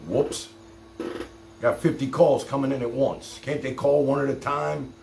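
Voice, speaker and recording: A man's voice: medium pitch, fairly even intonation, a little slow, gravelly, a little mellow. A man chatting casually as a live stream host, talking in a streetwise, direct way and taking calls. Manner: colloquial, streetwise, direct address. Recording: roomy, noisy live stream.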